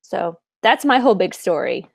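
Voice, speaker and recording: A woman's voice: medium pitch, animated, creaky, dry recording, studio quality. A woman in her twenties co-hosting a podcast, chatting casually and laughing at herself. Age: 20s. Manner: chatty, self-deprecating, quick to laugh. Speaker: podcast co-host. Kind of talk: casual chat.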